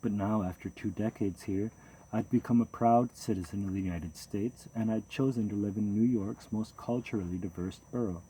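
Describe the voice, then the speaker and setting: A man narrating a first-person memoir audiobook in a measured, steady storytelling manner. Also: fairly low pitch, a little hiss or hum, low-quality recording.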